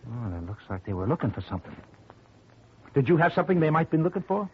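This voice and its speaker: An actor playing a pushy, impatient, businesslike insurance company boss in a 1950s radio drama. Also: male voice, on the high side, very animated, fast. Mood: brisk, probing. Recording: muffled, noisy vintage radio recording.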